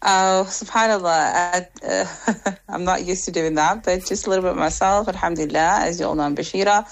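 Low, gravelly woman. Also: fairly quick, poor audio. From Egypt. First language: Arabic. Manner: devout, speaks with frequent Arabic phrases and restarts. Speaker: guest youth worker. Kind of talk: radio interview.

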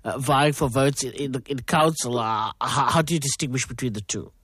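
Halting South African male radio host. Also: medium pitch, a bit bright, husky, dry recording, studio quality. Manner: halting, filler-heavy questions. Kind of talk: radio interview questioning.

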